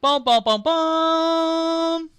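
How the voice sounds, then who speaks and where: A man's voice: very high voice, very slow, a bit bright, faint music behind. A man casually commenting over his video game playthrough, goofy and playful, humming and making silly noises. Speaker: gameplay commentator. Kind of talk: casual let's-play commentary.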